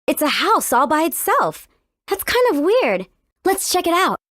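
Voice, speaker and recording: A woman's voice: sits high, sing-song, unhurried, fairly bright tone, dry recording, studio quality, full character voice. A woman voicing a confident, cheeky teenage heroine in a video game, breezy and persuasive. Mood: breezy, curious. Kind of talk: video game character acting.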